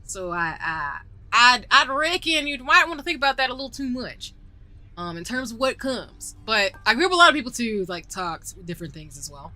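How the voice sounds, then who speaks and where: Southern woman streamer, young, giggly and rambling, bright tone, swoops up and down a lot, soft music under her. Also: on the high side, big pitch swings, normal speed. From southern United States. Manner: giggly and chatty, rambling with many fillers. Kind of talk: casual live-stream chat while gaming. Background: faint background music.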